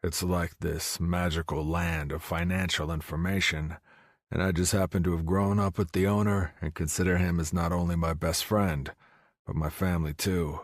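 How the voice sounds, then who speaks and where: A man with a husky voice reading first-person romance audiobook narration. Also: deep voice, flat delivery, normal speed, no room sound, clean studio sound.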